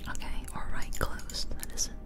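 Slow, whispering ASMR woman. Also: over music. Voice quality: soft, breathy unvoiced whisper. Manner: unhurried, murmuring thoughts aloud. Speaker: ASMR video creator and gamer. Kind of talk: whispered ASMR game commentary, casual rambling. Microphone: close ear-to-ear ASMR microphone.

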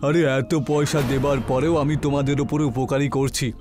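A man's voice: medium pitch, level tone, brisk, close to an everyday voice. A man voicing a greedy, scheming middle-aged village landlord in a cartoon, bossy and wheedling. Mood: bossy, scornful. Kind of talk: cartoon dubbing. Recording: music behind the voice.

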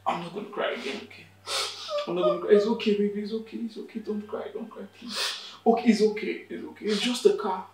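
An actor playing a distraught, hot-tempered husband, with a Nigerian accent and a plaintive, insistent delivery. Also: male voice, high voice, fairly even intonation, fast talker, slight background noise. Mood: pleading, soothing. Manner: plaintive, repeats words insistently. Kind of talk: emotional film drama acting. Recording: echoey room.

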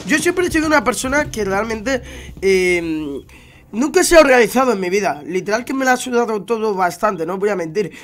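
A young Spanish-accented man with a fairly high voice, nasal and congested from a cold. He rambles casually to his viewers in slangy gamer chat, recorded at home on a headset mic. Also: animated, fairly quick. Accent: Spanish from Spain. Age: young adult. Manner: chatty, slangy, addresses viewers directly. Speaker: gaming YouTuber. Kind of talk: casual gameplay commentary. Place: home room at a gaming setup.

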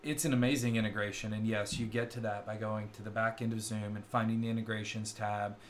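A man's voice: on the low side, flat delivery, quick pace, a little hiss or hum. A middle-aged man with a Kentucky accent co-hosting a casual tech chat over a video call, upbeat and chuckling often as he explains things. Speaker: co-host. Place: indoor room on a video call. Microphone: wired earbuds on a video call.

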